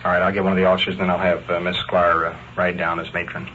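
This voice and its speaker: A man's voice: low voice, completely flat delivery, fast, bright, forceful tone. An American man talking in a businesslike way as a police officer at work in a station. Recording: very noisy, dull 1950s field recording.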